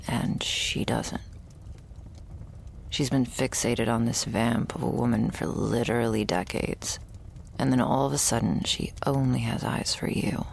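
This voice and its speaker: Wry, guarded young female thrall, played by a woman for vampire ASMR roleplay. Deep fry, unhurried delivery, sardonic and breathy at the edges. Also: a little hiss or hum, heavily acted. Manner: dry, sardonic, hesitant musing.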